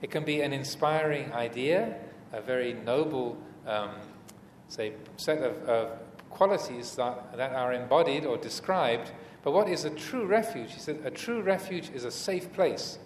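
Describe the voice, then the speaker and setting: Reflective man teaching in an echoing hall. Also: medium pitch. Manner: measured, reflective, pausing often between short phrases. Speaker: Buddhist monk teacher giving a dharma talk. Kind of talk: unscripted dharma talk. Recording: echoey reverberant hall with background noise.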